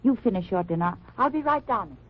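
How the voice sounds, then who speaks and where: A woman's voice: mid-range voice, lively intonation, brisk, close to an everyday voice. Secretive resistance wife played by a woman, vintage radio. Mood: polite, composed. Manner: careful, formal, earnest phrasing. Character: secretive, weary German underground fighter's wife. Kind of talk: 1940s-50s radio drama acting. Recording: muffled, noisy old-time radio recording.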